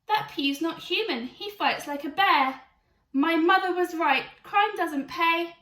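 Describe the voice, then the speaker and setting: A woman's voice: very high-pitched, unhurried, pushed and bright, echoey room, far from the mic, pitched above the performer's own voice, exaggerated voice. A woman voicing a panicked, indignant robber who wails and complains in a storybook read-aloud. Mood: panicked, wailing.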